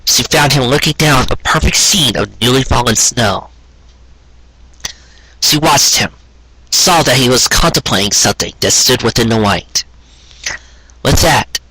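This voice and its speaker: A man reading a sentimental story aloud in a measured, scripted narrating manner. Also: mid-range voice, pushed and bright, slightly rough, no echo, rough recording. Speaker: audiobook reader of fan fiction.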